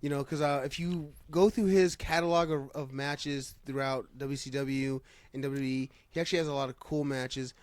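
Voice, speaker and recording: A man's voice: medium pitch, fairly even intonation, moderate pace, hardly any pauses, dry recording. A man hosting a casual chat show, talking informally and with some swearing, often circling back as he phrases a thought. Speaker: podcast host. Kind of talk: casual chat about pro wrestling.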